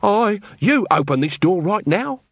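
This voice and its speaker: A man reading an audiobook, voicing a scrawny, sly male watchman who bluffs and talks fast. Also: high-pitched, big pitch swings, a bit bright, husky, no room sound, voice pushed up, heavily acted. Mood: brash bluffing. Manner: brash, fast-talking, bluffing.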